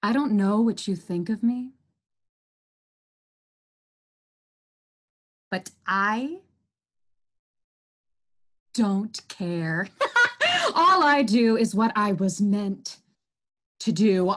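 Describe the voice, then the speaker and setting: A woman playing a boastful, menacing virus in a theatrical, drawn-out stage monologue. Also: mid-range voice, very animated, heavily acted. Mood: gloating. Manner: theatrical, drawn-out, gloating, swinging from outbursts to hushed menace. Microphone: computer microphone, close webcam framing.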